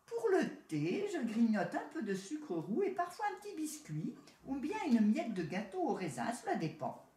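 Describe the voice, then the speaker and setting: A woman's voice: a little high, huge pitch swings, normal speed, dark tone. A woman voicing a haughty, boastful male city rat in a storybook tale, talking down to his cousin. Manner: proud, boastful, condescending. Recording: roomy echo.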